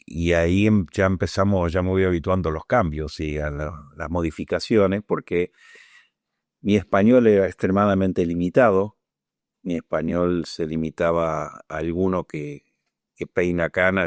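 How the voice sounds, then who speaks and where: Older Argentine man, very low voice, dry close sound, reflective pace for interview testimony. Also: clear, resonant tone, no room sound. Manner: measured, reflective, searching for words. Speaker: Falklands War veteran interviewee.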